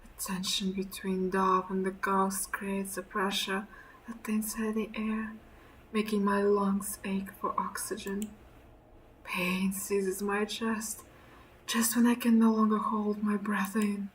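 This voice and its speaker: A woman streaming a visual novel, reading the narration aloud and reacting in an expressive, sweary way. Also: mid-range voice, slow, slight background noise, low-quality recording.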